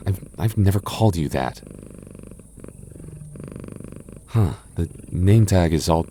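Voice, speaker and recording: A man's voice: low voice, lively intonation, normal speed, warm tone, a little hiss or hum. A young man playing an anxious, easily spooked but protective goth boyfriend in a roleplay audio drama, with a hesitant, stammering, sighing delivery. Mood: sheepish, protective.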